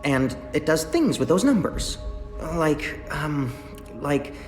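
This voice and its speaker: A flustered, stammering young engineer. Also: male voice, on the high side, sing-song, slow, echoey room, in a large hall, faint music behind. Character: nervous, rambling young male engineer. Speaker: lead engineer character. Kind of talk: video game voice acting.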